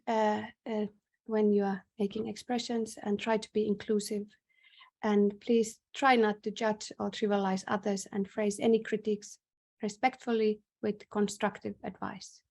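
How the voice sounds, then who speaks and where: A woman from northern Finland with a Finnish accent, formally introducing a conference session on a video call in a measured, hesitant way. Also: fairly high pitch, a little mellow. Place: indoors in a bright room, on a video call. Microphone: video call microphone.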